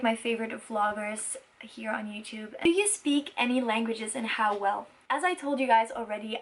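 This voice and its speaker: A woman in her twenties from Belgium, a native Dutch speaker, chatting casually to viewers in an earnest, enthusiastic and emphatic way. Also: fairly high pitch. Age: 20s. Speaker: vlogger and musician.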